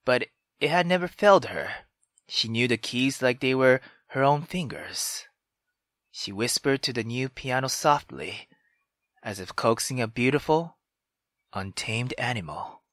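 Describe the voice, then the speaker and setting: A let's player narrating a visual novel aloud in an expressive storytelling manner. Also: mid-range voice, measured pace, no room sound, studio quality. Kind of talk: dramatic visual novel narration with brief reactions.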